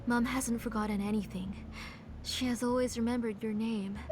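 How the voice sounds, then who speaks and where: A woman voicing a middle-aged, grieving, obsessive mother in a video game, in a soft, hushed and fervent manner. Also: a little high, fairly even intonation, normal speed, noisy background, lightly acted.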